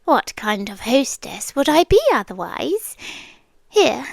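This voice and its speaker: Woman acting as yandere sea monster girlfriend, British, high female voice, extremely slow, breathy half-whisper, sweetly threatening, close ASMR roleplay. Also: very animated, no echo, exaggerated voice. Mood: patient, possessive. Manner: soft, breathy half-whisper, drawn-out pauses. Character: possessive yandere female sea creature, sweetly menacing captor. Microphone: close ASMR microphone.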